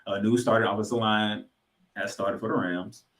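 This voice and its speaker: A man hosting a sports podcast, giving football commentary in an emphatic way, with dramatic pauses and repeated phrases. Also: lowish voice, fairly even intonation, fairly bright tone.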